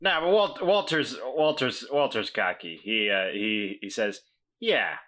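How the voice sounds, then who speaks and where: Slow, bright-voiced male player. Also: mid-range voice. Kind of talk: casual play at a gaming table.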